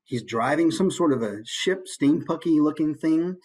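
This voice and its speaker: Animated man giving a casual talk. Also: on the high side, moderate pace. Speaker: voice actor giving a presentation. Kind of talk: casual instructional presentation.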